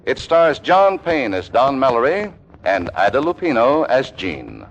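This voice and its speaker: A man's voice: mid-range voice, huge pitch swings, unhurried, slight background noise. A man announcing a 1940s radio show in a showy, proclaiming style. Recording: muffled vintage radio broadcast with music.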